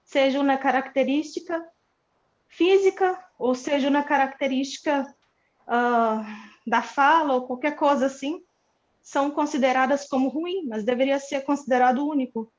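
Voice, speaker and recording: A woman sharing a personal story slowly and earnestly at a church study. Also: high voice. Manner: slow, earnest, mixing Portuguese and Spanish. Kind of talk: personal testimony. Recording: muffled lo-fi sound.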